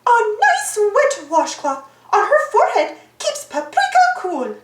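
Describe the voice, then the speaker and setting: A woman's voice: extremely high pitch, wildly swooping pitch, a little slow, voice pushed up, exaggerated voice. A woman voicing a kindly, helpful talking storybook character with a sweet, sing-song manner. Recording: roomy echo.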